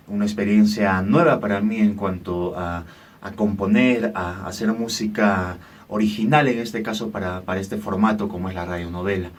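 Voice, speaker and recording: A man giving a testimonial, halting and often repeating the openings of his phrases. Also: lowish voice. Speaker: composer for a radio drama. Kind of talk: testimonial talk. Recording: distant from the microphone.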